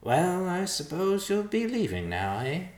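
A man voicing an excitable, fearful, pleading vulture in a storybook, with a shrill, strained voice. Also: unhurried, echoey room, full character voice. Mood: sullen. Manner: exclamatory, repeats words in agitation. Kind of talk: character voice in storybook narration.

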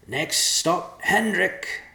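A man's voice: fairly low pitch, sing-song, slow, roomy sound. A man voicing a greedy, sly little bearded bandit in an audiobook-style story, with folksy rustic grammar and a cocky, wheedling manner. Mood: gleeful calling out.

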